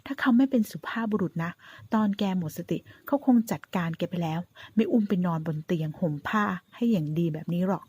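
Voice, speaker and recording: A woman voicing an excitable young woman who teases her friend, playful and gushing. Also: deep voice, normal speed, breathy edge, no room sound. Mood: excited teasing.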